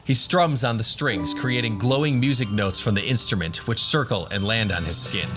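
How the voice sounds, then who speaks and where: A man's voice: lowish voice, moderate pace, music in the background. A man narrating a scripted fantasy adventure as the storyteller of an audio drama. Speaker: narrator, game master.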